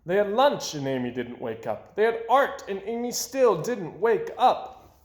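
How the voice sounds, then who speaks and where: A man reading a children's picture book aloud as an animated storyteller. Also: sits high, measured pace. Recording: roomy, echoing sound.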